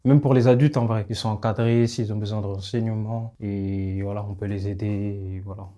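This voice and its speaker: A young man, a footballer, answering interview questions in relaxed, plain street talk. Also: on the low side, brisk. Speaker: footballer guest. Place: outdoors in a neighbourhood.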